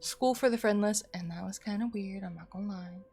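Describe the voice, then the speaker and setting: A woman live streamer chatting casually to viewers in an expressive, rambling, playful way, sounding a little sleepy. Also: medium pitch, a little fast, creaky, slightly rough. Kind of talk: casual chatty livestream sign-off. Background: music.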